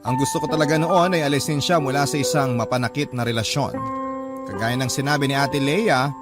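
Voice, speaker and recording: A man's voice: mid-range voice, lightly acted. An actor playing an earnest, devoted young Filipino man confiding his love story in a reflective radio drama style. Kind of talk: radio drama letter narration, measured and confessional.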